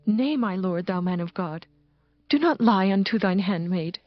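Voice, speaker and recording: A woman's voice: medium pitch, animated, moderate pace, dull audio, faint music behind, lightly acted. An actress playing a devout, dignified married woman of ancient times in a dramatized scripture, with formal, earnest phrasing. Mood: humble. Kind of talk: dramatized scripture audio acting.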